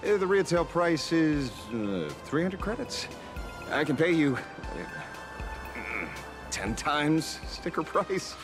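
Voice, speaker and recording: An actor playing a wry, self-styled famous detective in a video game, musing theatrically with pauses and stammers. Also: male voice, fairly high pitch, big pitch swings, over music. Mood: flustered, eager.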